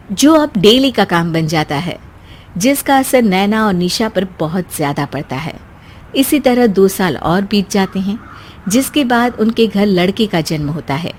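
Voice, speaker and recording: Lady narrator reading out a family story, normal mid pitch, scripted storytelling. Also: breathy edge.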